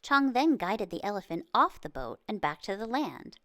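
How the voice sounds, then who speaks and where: Lady reading a kids book out loud, super lively intonation, careful delivery, dry close sound. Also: medium pitch, moderate pace, dry recording. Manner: expressive, careful read-aloud delivery. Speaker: children's book reader. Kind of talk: storybook narration.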